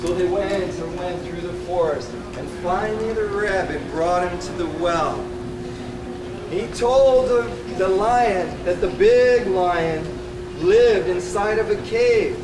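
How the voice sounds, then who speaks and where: A man presenting a live puppet show, telling stories and explaining their lessons to children in a measured, didactic way. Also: high voice. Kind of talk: live storytelling and talk to children. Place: indoor bookstore event. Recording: echoey, noisy room, distant mic.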